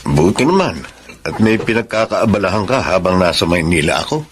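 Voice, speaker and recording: An actor playing a middle-aged husband and teacher with a cold, dismissive temper in a radio drama. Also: male voice, low voice, big pitch swings, a little fast, background noise. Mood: casual. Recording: music behind the voice.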